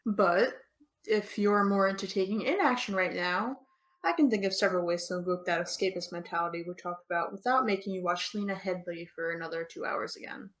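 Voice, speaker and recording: A woman's voice: on the low side, brisk, lightly acted. Woman acting sardonic young American woman, Eve. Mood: teasing, intimate. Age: young adult. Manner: dry, teasing, deadpan. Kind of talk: audio drama dialogue.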